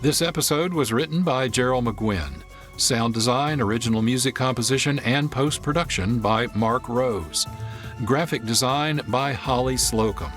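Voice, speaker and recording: Casting: male radio announcer, average pitch, measured scripted read, light music bed underneath. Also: a bit bright. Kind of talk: scripted credits narration.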